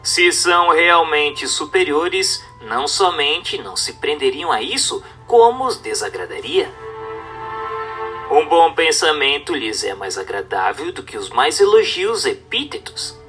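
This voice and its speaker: Male narrator, bright and higher-pitched, solemn scripted religious reading over music. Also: big pitch swings, a little slow.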